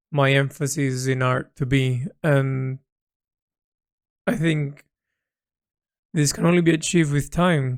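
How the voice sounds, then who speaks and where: Reflective man with a gravelly, creaky voice. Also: fairly high pitch, no echo, clean studio sound. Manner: halting, thoughtful, with repeated words and trailing phrases. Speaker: artist recording an audio log about their creative project. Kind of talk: reflective solo audio diary.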